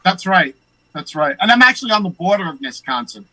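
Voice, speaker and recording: A host with a Long Island accent, chatting casually and affirming the guest often. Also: male voice, high voice, big pitch swings, fairly quick, dry recording, rough recording. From United States. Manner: warm affirming, frequent mm-hmms. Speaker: podcast host. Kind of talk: casual chat.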